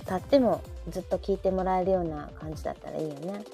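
A woman's voice: fairly low pitch, fast, vocal fry, warm tone. A woman with a Kansai Japanese accent hosting a live audio show in casual chat, often chiming in with agreement. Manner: frequent backchannel agreement. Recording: faint background music.

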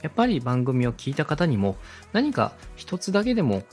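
Guy podcast host teaching stuff, polite n chatty, very animated pitch, bit of music behind. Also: fairly quick. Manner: polite, conversational, addresses the listener directly. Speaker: podcast host and radio director giving advice. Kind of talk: explanatory podcast teaching with acted example questions. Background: background music, faint.

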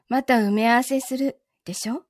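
A woman acting in a Japanese voice drama as a calm, understanding, quietly lonely girlfriend, speaking gently with a Kansai accent. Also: on the high side, takes it slowly, no room sound, clean studio sound. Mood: understanding, reassuring. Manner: composed, gentle, reassuring.

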